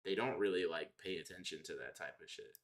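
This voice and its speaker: Low-voiced young man, casual and slangy. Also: expressive, brisk, a bit bright. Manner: slangy, profane, opinionated. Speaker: live streamer. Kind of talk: casual livestream chat, answering viewers.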